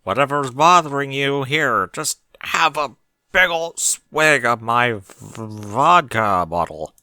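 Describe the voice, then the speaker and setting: A man voicing a tipsy, blunt adult pony mare in a storybook reading, with drawn-out, matter-of-fact delivery. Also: mid-range voice, expressive, pushed and bright, no echo, voice pushed up, full character voice. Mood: tipsy, helpful.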